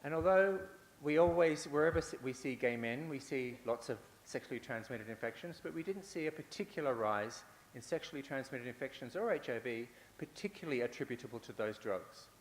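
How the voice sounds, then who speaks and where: A man's voice: mid-range voice, animated, a little fast, few pauses. A man giving a conference talk, building his points with repeated phrases and the odd filler or restart. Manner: repetitive, rhetorical, with fillers and restarts. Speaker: conference speaker. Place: large conference hall. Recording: echoey hall with faint hiss.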